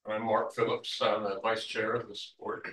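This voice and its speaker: A man's voice: lowish voice, little pitch movement, normal speed, fairly bright tone, distant mic. A man speaking as vice chair at a city board meeting, hesitant and tentative. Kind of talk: meeting discussion. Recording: echoey room, off-mic.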